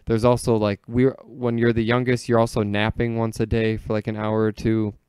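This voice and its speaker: A man with an American accent talks in a low, clear voice with very little room sound. He tells stories casually and jokingly, leaning on "like" as he goes. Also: completely flat delivery, normal speed, darkish tone, no room sound, studio quality. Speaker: podcast speaker telling childhood stories. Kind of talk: casual comedic chat.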